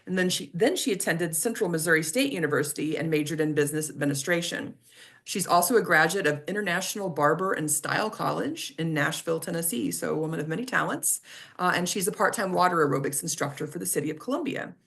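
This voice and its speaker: Lower-voiced woman introducing a guest. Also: fast, creaky. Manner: friendly, steady, small self-corrections. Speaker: club meeting host introducing guests.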